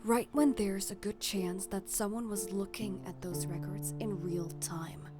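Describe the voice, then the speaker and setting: A woman voicing an exasperated, sensible older-sister type, stern and sardonic but caring, in an animated comic dub with music behind her. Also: medium pitch, measured pace, natural voice.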